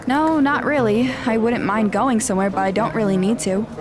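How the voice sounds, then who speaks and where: A woman acts as a pushy teenage schoolgirl in an audio drama. Her voice is very high, with a huffy, brash edge. Music plays behind the dialogue. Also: a little fast, close to an everyday voice. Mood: upbeat.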